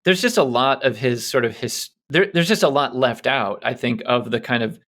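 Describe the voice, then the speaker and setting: A man's voice: medium pitch, moderate pace, a bit bright. A man hosting a podcast, talking casually and tentatively as he circles toward his point. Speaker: podcast host. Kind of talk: casual intellectual discussion.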